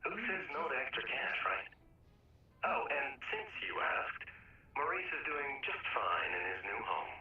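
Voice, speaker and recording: An actor playing a breezy, pragmatic, wisecracking fixer in a video game, glib and needling over a phone filter. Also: male voice, mid-range voice, big pitch swings, a little slow, recorded from a distance. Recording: echoey, sharp phone-call sound.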